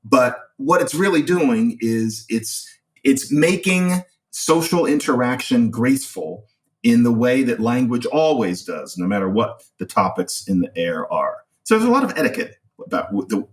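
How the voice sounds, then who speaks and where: A man's voice: mid-range voice, sing-song, normal speed. Distant-miked man explaining ideas. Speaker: expert guest. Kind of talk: explanatory discussion of language and society.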